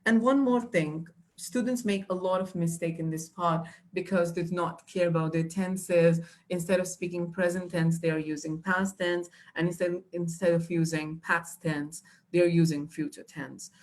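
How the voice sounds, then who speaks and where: A woman's voice: lowish voice, fairly even intonation, moderate pace, hardly any pauses, darkish tone. A young woman, teaching exam tips patiently with many fillers and restarts. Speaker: IELTS trainer. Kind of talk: live online teaching.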